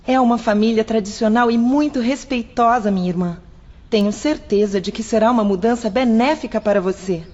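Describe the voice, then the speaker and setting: Woman voicing a caring big sister, tender, reassuring, higher voice, dull reverberant recording. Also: a little fast, darkish tone, natural voice. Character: caring older sister, young woman, hotel servant. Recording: lo-fi with long reverb.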